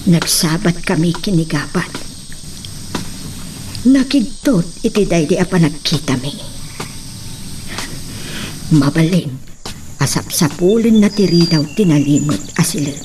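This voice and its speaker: A woman's voice: fairly low pitch, animated, a little slow, pauses often, noisy background. A woman playing a timid, fearful household servant in a radio drama, with a hesitant, pleading manner. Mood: worried, reflective. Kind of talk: melodramatic radio drama acting.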